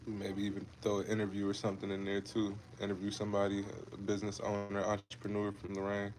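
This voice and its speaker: A man's voice: fairly low pitch, completely flat delivery, fast talker, darkish tone, slight background noise, rough recording. A man in his twenties from Ohio, chatting casually with viewers on a fitness livestream, laid-back and repetitive, calling them bro and man. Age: 20s. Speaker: fitness creator hosting a livestream.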